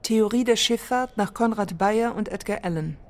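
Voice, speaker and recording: A woman's voice: mid-range voice, normal speed, darkish tone. A woman narrating a literary text in a scripted radio play. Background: music.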